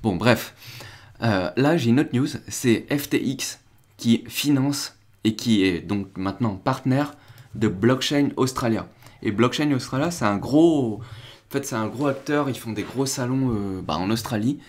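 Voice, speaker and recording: A man's voice: mid-range voice, expressive, unhurried, darkish tone. Casual French-speaking crypto streamer, young man. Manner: rambling, restarts and fillers, chats with viewers. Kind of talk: casual live-stream commentary.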